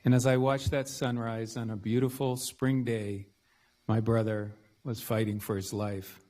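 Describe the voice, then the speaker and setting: A man's voice: medium pitch, level tone, a little slow, mellow. A man speaking to a gathering at a public reading as one of the volunteer readers. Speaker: nonprofit director, volunteer reader.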